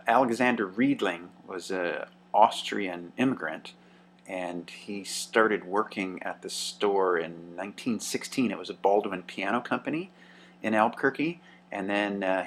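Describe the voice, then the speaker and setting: Man, low register, relaxed hobbyist walking viewers through an old radio, lots of "uh", faint hum under the track. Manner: relaxed, with frequent "uh" and "um". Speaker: vintage radio collector. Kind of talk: casual show-and-tell narration.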